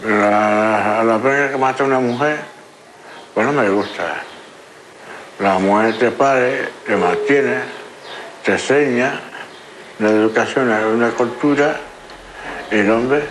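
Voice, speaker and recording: A man talking haltingly in short phrases in an old television documentary interview. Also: medium pitch, a bit bright, a little hiss or hum, low-quality recording. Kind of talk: documentary interview, casual talk.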